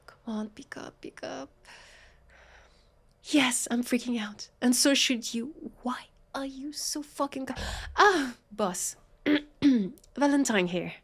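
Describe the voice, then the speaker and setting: A woman with a French accent playing a brusque, sarcastic vampire detective in a scripted audio roleplay, with halting, flustered delivery. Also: mid-range voice. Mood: impatient, scornful, then strained politeness.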